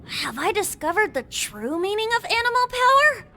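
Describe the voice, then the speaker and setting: Game casting: exuberant dramatic youngster, bright and swooping, gushing delivery, faint noise behind. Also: female voice, very high-pitched, very animated, normal speed, heavily acted. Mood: tipsy, merry. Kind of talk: video game dub acting.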